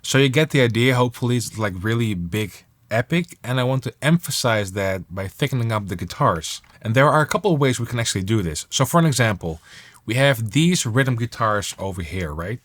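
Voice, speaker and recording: A man presenting a software tutorial on music production in a casual, enthusiastic and emphatic way. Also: mid-range voice, expressive, a little fast.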